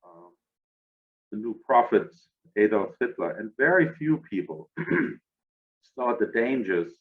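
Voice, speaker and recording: This is an older man with a clear German accent, speaking slowly in a low register. He answers reflectively and often stops to gather his thoughts. His voice comes through a narrow, phone-like line. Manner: measured, thoughtful, with frequent "uh" and "um" pauses. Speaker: guest, academy director.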